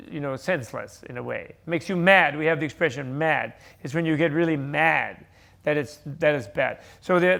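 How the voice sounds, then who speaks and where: A man's voice: fairly high pitch, creaky, a bit bright. An older man giving a conversational teaching talk on stage, rambling animatedly through explanations and correcting himself mid-sentence. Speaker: panel speaker on Buddhist teachings. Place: live event stage with audience.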